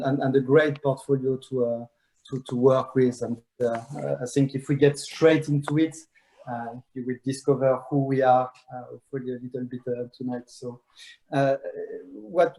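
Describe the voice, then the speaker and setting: A man's voice: mid-range voice, mellow, rough recording. A middle-aged man with a French accent presenting a virtual wine tasting, telling a story with frequent hesitations and restarts. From France. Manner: many fillers and restarts, storytelling. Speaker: wine brand ambassador.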